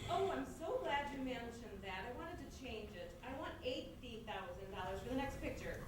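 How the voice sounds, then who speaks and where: A woman's voice: on the high side, animated, moderate pace, background noise, close to an everyday voice. Woman as self-dramatizing movie star, stage farce delivery, imperious and theatrical; distant, very echoey recording. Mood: haughty, demanding. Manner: melodramatic, repeats words for effect. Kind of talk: stage comedy reader's theatre acting.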